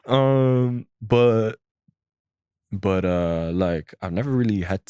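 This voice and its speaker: A young man with a low, deep voice talks casually as a podcast guest, swinging into lively acted-out voices when he retells what people said to him. The sound is close and dry, with no room around it. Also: slow pace, clear, resonant tone, no echo. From Switzerland. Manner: animated storytelling, acts out voices of others. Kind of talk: casual chat.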